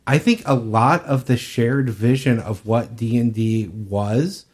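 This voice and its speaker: Male co-host, average pitch, conversational, musing and explanatory. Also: unhurried, a little mellow. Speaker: podcast co-host. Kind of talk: casual panel discussion.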